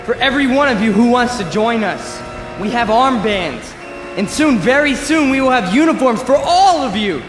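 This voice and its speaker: A man's voice: very high-pitched, lively intonation, music in the background. An actor playing an insecure young male demagogue in a radio drama, declamatory and fervent in an echoing space. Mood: rousing, fervent. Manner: slow, declamatory, pleading. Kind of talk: theatrical radio drama oratory.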